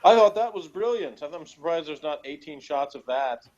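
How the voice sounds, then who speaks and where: A man's voice: on the high side, expressive, normal speed, darkish tone, muffled recording. A man chatting casually and offhandedly as a co-host of a review show, in a dry manner. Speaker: podcast co-host reviewing a TV episode. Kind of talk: casual chat.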